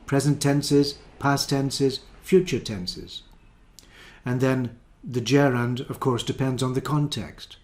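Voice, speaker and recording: A man's voice: medium pitch, a little mellow. A man teaching an English grammar lesson, carefully explaining and repeating each example sentence.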